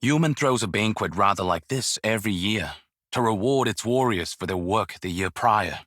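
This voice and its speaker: An actor voicing a calm, dignified veteran warrior in a video game, speaking in a measured, solemn manner. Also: male voice, lowish voice, dry recording, clean studio sound. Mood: calm, reflective. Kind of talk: scripted game character lines.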